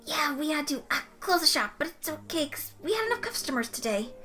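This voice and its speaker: A woman's voice: sits high, very animated, fairly quick, bright, forceful tone, voice pushed up, full character voice. A woman voicing a twitchy, kindly young male coffee shop owner in a story, speaking hesitantly. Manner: hesitant, broken up with ums and ers.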